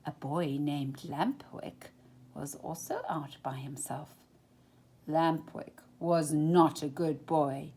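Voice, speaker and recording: A woman storyteller reading a children's storybook expressively, with a deliberate, dramatic delivery that moves between full voice and hushed phrasing. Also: low voice, slightly rough.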